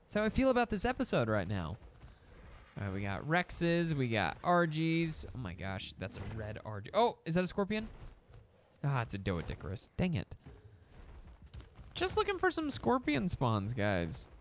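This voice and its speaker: A man's voice: fairly high pitch, wildly swooping pitch, normal speed, a little hiss or hum. A young man casually commentating a survival game, rambling to his in-game pets and his audience. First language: English. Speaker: gaming YouTuber. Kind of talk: casual let's-play commentary.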